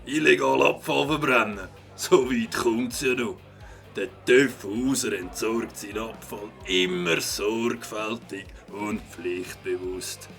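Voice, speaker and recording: An actor playing a gruff, defiant pub regular and litterbug villain in a children's audio drama, with a Swiss German accent and a scoffing, dismissive manner. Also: male voice, mid-range voice, slow, a bit bright, soft background music. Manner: scoffing, dismissive, mock-innocent.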